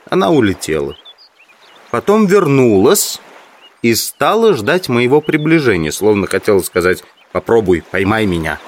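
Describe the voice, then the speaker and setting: A man playing an earnest, obsessive amateur entomologist in an audio drama, musing in a deliberate, reflective monologue. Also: fairly low pitch. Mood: wistful, fascinated.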